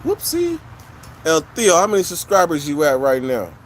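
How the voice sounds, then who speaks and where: A man talks to his stream viewers in a casual, rambling way, full of street slang and cursing. His voice sits a little higher than most men's. A faint hiss of outdoor noise runs under it. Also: huge pitch swings, moderate pace. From California. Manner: blunt, profane street slang, rambling storytelling. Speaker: livestream host. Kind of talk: casual livestream chat with viewers.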